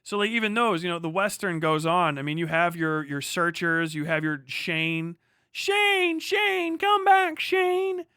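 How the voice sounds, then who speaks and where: A man with a clear, bright and fairly high voice talks through a livestream monologue. He wanders from point to point, gives firm opinions and swears freely. The sound is close and dry. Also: steady tone, moderate pace, hardly any pauses, dry recording. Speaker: livestream host. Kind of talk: rambling livestream monologue on film and culture.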